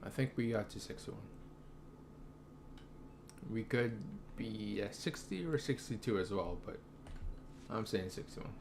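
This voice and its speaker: Young guy streaming a game, kinda low voice, chill, mutters math out loud, noisy mic. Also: expressive, a little slow, vocal fry, pauses often. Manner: laid-back, thinking aloud with slang. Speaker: gaming streamer. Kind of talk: casual gameplay commentary.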